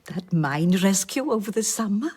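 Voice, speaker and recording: A woman's voice: mid-range voice, expressive, measured pace, a little mellow, breathy edge. An actress playing an effusive, sentimental older woman with a Welsh accent in a radio drama, lingering on her words. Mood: gushing, affectionate.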